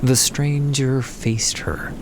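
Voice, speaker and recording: A man narrating a fantasy story as an audio drama, with measured, deliberate, dramatic phrasing. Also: mid-range voice, fairly even intonation, noisy background. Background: prominent background music.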